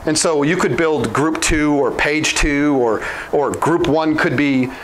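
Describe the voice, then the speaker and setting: A man in his thirties explaining software licenses at a whiteboard, talking quickly and informally with frequent restarts and self-corrections, on a lapel mic in a small room. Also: a little high, slight background noise, rough recording. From Oklahoma. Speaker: product trainer.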